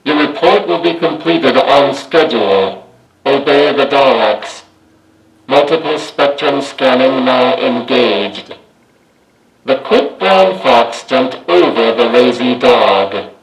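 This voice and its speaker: A grating, mechanical alien war machine, as a man puts it on through a toy voice changer filter, issuing slow, flat, clipped commands. Every word is dragged out heavily in a roomy, echoing space. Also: medium pitch, soft background music. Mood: commanding.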